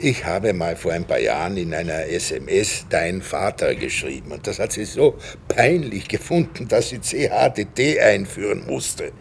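Middle-aged inspector, gravelly, conversational, dry humour, radio drama, slight background ambience. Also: male voice, low voice, expressive, normal speed, few pauses, a little hiss or hum. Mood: amused, flustered. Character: middle-aged male police inspector and father, dry, easily amused. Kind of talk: naturalistic German radio drama, with inner monologue.

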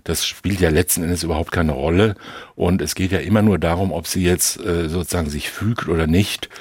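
A man's voice: low voice, steady tone, a little fast, slightly rough, no room sound. A man in a conversational film debate, insistently arguing his reading and circling back to repeat key phrases.

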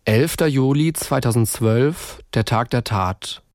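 A man's voice: mid-range voice, slow, background noise. A man reading scripted true-crime narration as a podcast host.

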